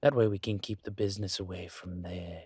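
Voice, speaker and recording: A man voicing a calm, courteous dragon mafia boss in a roleplay audio, speaking in a husky, measured, polite way. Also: deep voice, no echo, clean studio sound. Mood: calm, assured. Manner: measured, polite, deliberate with pauses. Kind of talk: scripted roleplay audio monologue to listener.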